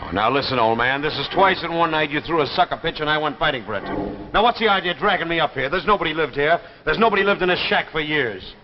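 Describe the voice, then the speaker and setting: A man's voice: a little high, expressive, fast talker, close to an everyday voice. An actor playing a stubborn, driven man with an American accent, speaking intensely in a 1950s television drama. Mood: skeptical, irritated. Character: stubborn, driven man hunting for proof of saucers. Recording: muffled 1950s television soundtrack, faint noise.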